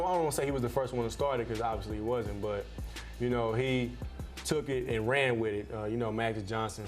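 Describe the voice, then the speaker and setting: Slangy teenage male athlete, music behind. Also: medium pitch. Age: teenager, seventeen. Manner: slangy, repeats phrases. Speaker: basketball player being interviewed. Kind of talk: casual interview chat.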